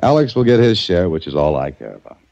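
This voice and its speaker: An actor playing a calm, self-assured private detective in a 1940s radio drama, with a dry manner. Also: male voice, low voice, fast talker, gravelly, dry recording, exaggerated voice. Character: cool private detective Dan Holliday. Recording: dull lo-fi 1940s radio recording.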